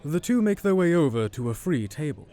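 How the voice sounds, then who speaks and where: A man's voice: on the high side, sing-song, fairly quick, mellow. A man reading scripted third-person narration for an audio drama.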